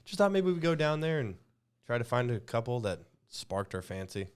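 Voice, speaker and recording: Animated joking man on a podcast. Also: mid-range voice, normal speed, smooth. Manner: joking, swearing, sarcastic. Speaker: podcast co-host. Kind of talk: casual banter, reading out online posts.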